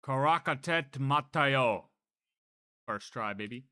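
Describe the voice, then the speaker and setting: A man giving casual live game commentary, thinking aloud in an animated, cocky way. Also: medium pitch, slow pace, slight background noise. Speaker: game streamer.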